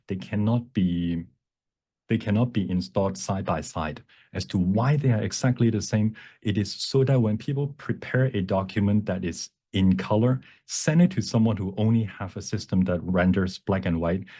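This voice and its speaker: A man presenting a live software workshop and taking questions, explaining in a halting way with phrases that trail off. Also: fairly low pitch, slightly rough.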